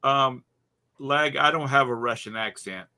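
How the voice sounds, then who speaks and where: A man with a Charleston, South Carolina accent chats casually, his voice bright and crisp at an ordinary pitch. He rambles through stories with fillers and restarts. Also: measured pace. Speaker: co-host. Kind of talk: casual chat.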